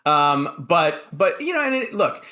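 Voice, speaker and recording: American male talk host, slightly high voice, halting but emphatic conversational commentary. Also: sing-song, sharp tone. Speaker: political talk show host.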